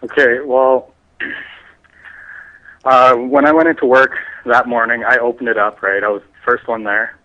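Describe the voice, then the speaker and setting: A man's voice: medium pitch, little pitch movement, a little slow, long pauses, sharp tone. Defensive man on a narrow phone line. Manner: defensive, insistent. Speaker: prank call target. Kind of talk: answering questions on a phone call. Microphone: telephone.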